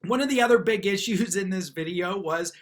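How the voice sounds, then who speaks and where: A man's voice: sits high, brisk. A man giving casual, chatty, off-the-cuff commentary on a news clip as a lawyer hosting his own show. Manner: informal, chatty. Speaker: lawyer commentator, channel host.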